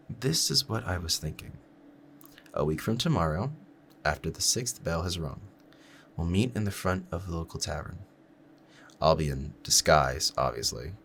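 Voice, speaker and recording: A man performs a lovestruck assassin with a very deep voice. He speaks formally and gently to the listener, courteous yet quietly dangerous, in an intimate audio roleplay. A faint ambient noise sits behind him.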